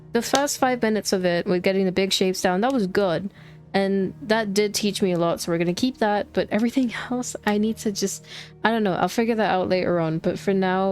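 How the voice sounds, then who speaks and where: Chatty posh British woman streamer. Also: lowish voice. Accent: posh British with slight American twinge. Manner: chatty, rambling, laughs often. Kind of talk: casual chat while gaming.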